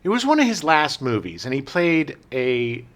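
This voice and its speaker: Man, podcast co-host, lively pitch, rambling casual chat. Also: normal speed. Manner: animated, rambling, self-correcting. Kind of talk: casual chat about movies.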